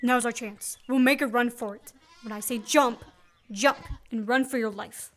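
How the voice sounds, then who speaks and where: A woman acting in a radio play as a quick-witted, sardonic man on the run, with clipped banter. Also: on the high side, fairly even intonation, fairly bright tone, close to an everyday voice. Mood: urgent. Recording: noisy student radio play recording.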